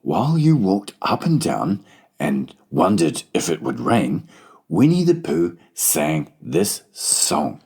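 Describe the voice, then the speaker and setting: A man's voice: deep voice, huge pitch swings, slow, gravelly, a little mellow, husky. A man narrating a children's story as a scripted audiobook.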